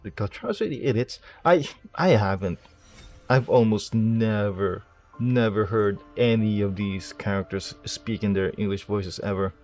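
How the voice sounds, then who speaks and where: A man's voice: lowish voice, a little slow, a little mellow, faint music behind. A man playing a video game and commentating casually, rambling and thinking aloud in a self-deprecating way. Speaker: streamer, game player.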